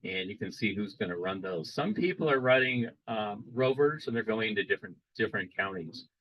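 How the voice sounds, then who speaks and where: A man presenting a live demo at a radio club meeting, explaining casually and pausing often to restart his sentences. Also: lowish voice, expressive, no echo, highs cut off. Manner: casual, halting, many fillers and restarts.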